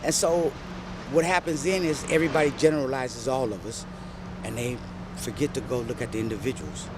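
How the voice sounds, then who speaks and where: A man's voice: mid-range voice, a little fast. A homeless man being interviewed outdoors, talking earnestly and reflectively and often ending with "man". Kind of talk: candid street interview. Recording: loud traffic noise outdoors.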